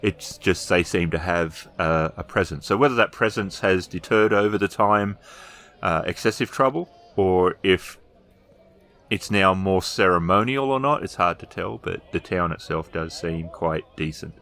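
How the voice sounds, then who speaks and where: A man narrating a tabletop role-playing game as storyteller, improvising descriptions with hesitant pauses. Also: low voice, a bit bright.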